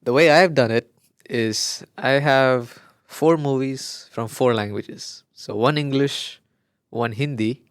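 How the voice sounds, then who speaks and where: A man's voice: mid-range voice, slow, no room sound, clean studio sound. A young man whose first language is Malayalam, co-hosting a podcast in casual, gushing chat that often trails off mid-sentence. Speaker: podcast co-host.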